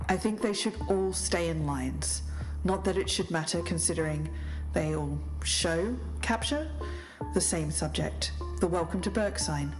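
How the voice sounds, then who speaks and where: An Australian woman playing an intense, deliberate podcast investigator in a scripted audio drama. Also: low-pitched, low-quality recording. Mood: brooding, fixated. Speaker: podcast co-host character. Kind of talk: scripted audio fiction narration.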